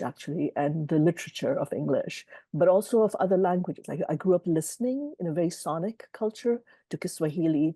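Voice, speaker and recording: A middle-aged woman speaks in a low, mellow voice, answering questions with thoughtful, earnest reflection. She is a publisher talking over a video call. The sound is dry and close. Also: no echo.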